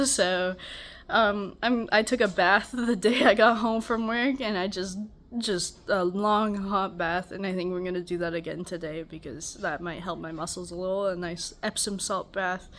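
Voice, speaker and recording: A woman's voice: medium pitch, a bit bright. A young woman with a stuffy, congested voice, rambling wearily through a casual check-in at home. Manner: rambling, weary, self-deprecating. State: tired. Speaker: vlogger. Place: home, beside a loud air conditioner.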